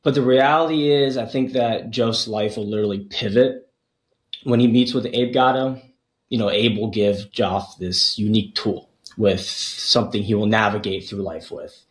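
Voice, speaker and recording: Guy actor chatting casually in an interview, roomy echo on his voice, medium pitch. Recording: roomy, echoing sound.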